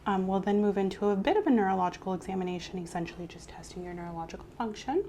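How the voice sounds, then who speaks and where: A soft-spoken woman playing a doctor in an ASMR exam roleplay, methodically asking questions in a reassuring way that often trails off quietly. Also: mid-range voice, rapid-fire, a little hiss or hum. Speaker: ASMR creator playing a doctor taking a medical history. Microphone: clip-on mic.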